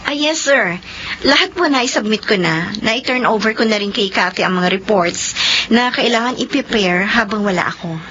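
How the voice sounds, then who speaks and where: A woman's voice: mid-range voice, expressive, normal speed, fairly bright tone, a little hiss or hum, lightly acted. An actress in her thirties playing an emotional, tearful daughter and office officer who also narrates, in a radio drama. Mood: polite. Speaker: lead character and narrator. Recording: dull lo-fi radio recording with music.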